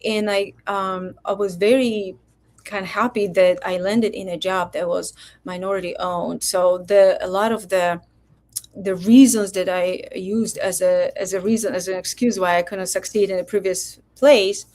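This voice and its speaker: Middle-aged woman, physician guest, candid and halting. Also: medium pitch, fairly even intonation, a little mellow. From Poland. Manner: reflective, hesitant, many fillers. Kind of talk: candid personal interview talk.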